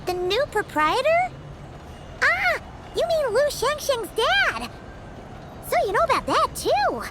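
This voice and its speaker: A woman voicing a small, excitable floating fairy girl in a video game, chirpy and cheeky with a squeaky childlike voice. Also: full character voice. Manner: chirpy, cheeky, refers to herself in third person. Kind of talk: video game character dialogue. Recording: music playing under the voice.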